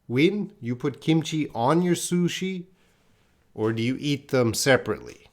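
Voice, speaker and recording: Slow, patient male English teacher. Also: mid-range voice, lively intonation, a little mellow. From Canada. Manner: slow, patient explaining, reads chat comments aloud. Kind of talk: live online grammar lesson.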